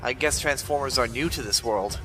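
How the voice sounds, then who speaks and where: A man's voice: mid-range voice, fairly quick, over music, natural voice, rough recording. A man voicing an earnest, youthful heroic robot with plain-spoken delivery in a comic dub. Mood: earnest, concerned. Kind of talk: dramatic comic-dub voice acting.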